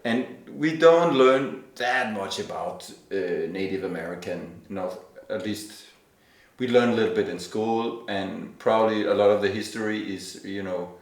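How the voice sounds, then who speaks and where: A man's voice: fairly low pitch, normal speed. A Danish man hosting a podcast interview, asking questions in a hesitant way full of restarts. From Denmark. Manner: hesitant, with repeated restarts and fillers. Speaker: podcast host. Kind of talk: conversational interview. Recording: echoey room, distant mic.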